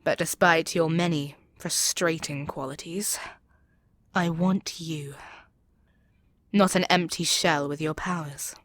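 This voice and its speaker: A low, dry-recorded demon queen, acted out by a woman for an ASMR audio roleplay. She is imperious and seductive, coaxing her captive with arrogant confidence and a readiness to sink into whispers. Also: fairly even intonation, slow, long pauses, fairly bright tone, no room sound. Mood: commanding, threatening.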